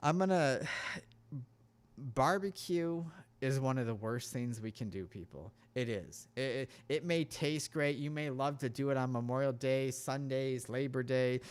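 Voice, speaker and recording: A man's voice: medium pitch, measured pace, clean tone. A man giving a casual talk-show monologue on health, earnest and preachy, rambling through his points. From United States.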